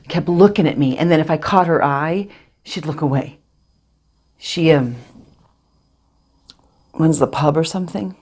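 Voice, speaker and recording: A hurt, bitter wife acted by a woman confronts her husband in a stage monologue. Her voice is low and husky with a breathy edge. She is intense and accusing, yet keeps herself controlled. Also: darkish tone, heavily acted. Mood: dawning realisation. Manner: intense, accusing, controlled, dropping to near-whispers.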